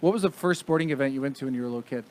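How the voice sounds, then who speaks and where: A man, a radio DJ and comedian, guesting on a podcast with quick profane jokes in a studio. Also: mid-range voice. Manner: joking, profane, quick retorts. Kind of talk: casual comedy chat.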